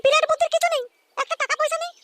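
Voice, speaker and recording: An indignant young cartoon wife, scolds and nags at breakneck speed. Her voice is electronically squeaky and extremely high, against loud noise with clicks and chirping birds. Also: fairly even intonation, racing. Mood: scolding. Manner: nagging, argumentative. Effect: pitched-up chipmunk-like voice. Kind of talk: comic cartoon dialogue.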